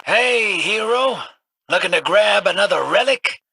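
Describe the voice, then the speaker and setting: A wry, teasing scavenger. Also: male voice, sits high, expressive, a little slow, creaky, background noise, heavily acted. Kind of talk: game voice acting.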